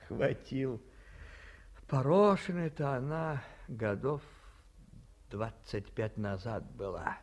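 A chatty old provincial innkeeper. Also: male voice, medium pitch, sing-song, very slow, long pauses, exaggerated voice. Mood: folksy. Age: older. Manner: folksy, storytelling, drops to whispers. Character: elderly male provincial hotel keeper, folksy, talkative. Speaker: provincial hotel director. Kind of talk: 1950s radio play acting.

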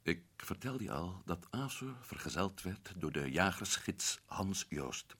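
A seasoned hunter home from the African jungle, husky and low, his voice rising and falling widely as he presses an urgent, emphatic case. Radio drama delivery. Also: sing-song, a little slow, a bit bright, heavily acted. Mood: narrating. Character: adventurous male hunter back from Africa. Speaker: radio play actor. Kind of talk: 1960s radio drama acting.

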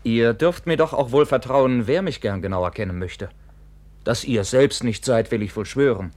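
An actor playing a proud, brooding, penniless young knight in a classical radio drama, with wry, formal diction. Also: male voice, medium pitch, normal speed, a little mellow, natural voice. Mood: guarded, probing.

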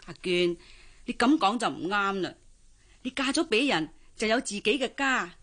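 A woman's voice: medium pitch, animated, moderate pace, a bit bright. A middle-aged Hong Kong Cantonese auntie in a radio drama, with a mid-range voice. She is traditional and pushy, coaxing and nagging with sighs and exclamations. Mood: persuading. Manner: coaxing, exclaiming, sighing interjections. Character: pushy, traditional older auntie urging a girl to marry.

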